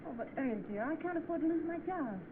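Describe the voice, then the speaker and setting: Brisk, prim young film secretary. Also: female voice, high voice, expressive. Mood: polite, assured. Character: polite, self-assured young secretary in an old film. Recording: tinny, noisy old film soundtrack.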